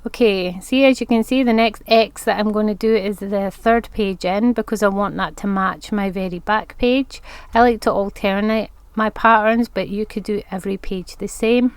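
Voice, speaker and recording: A woman's voice: mid-range voice, steady tone, normal speed, smooth, no room sound. Chatty woman, stuffed up. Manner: chatty, step-by-step explaining. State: congested from hay fever. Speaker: craft vlogger. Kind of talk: casual crafting tutorial.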